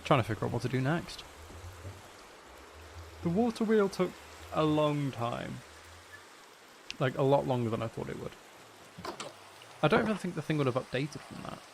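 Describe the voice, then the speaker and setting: A man's voice: fairly high pitch, expressive, normal speed, creaky, long pauses, a little hiss or hum. A man chatting casually on a live game stream in a rambling, self-deprecating way. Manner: mildly sweary. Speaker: game streamer and audio creator.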